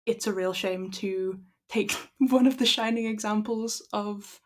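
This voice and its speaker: A young Irish woman with a croaky voice, chatting casually to her stream and then reading a classic story aloud in a steady, measured way. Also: mid-range voice. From Ireland. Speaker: livestream book reader.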